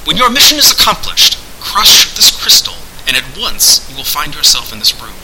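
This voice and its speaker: Man playing a commanding, high-pitched formal sorcerer. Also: big pitch swings, normal speed, noisy background. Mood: instructing. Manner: declamatory, formal archaic speech. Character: commanding, unseen sorcerer, courteous and formal, amused.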